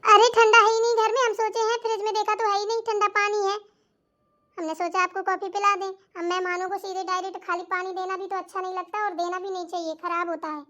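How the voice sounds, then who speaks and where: A put-upon young daughter-in-law with an extremely high cartoon voice, played by a woman. She is defensive and cheeky, explaining herself with mild sarcasm as in a family comedy. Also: little pitch movement, fast, sharp tone, muffled recording, slight background noise.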